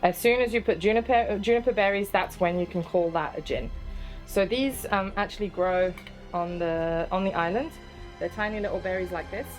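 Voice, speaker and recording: A woman's voice: medium pitch, normal speed, soft background music. A woman from southwest France guiding visitors through a farm, chatty and joking about herself. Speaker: tour guide. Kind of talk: guided tour explanation.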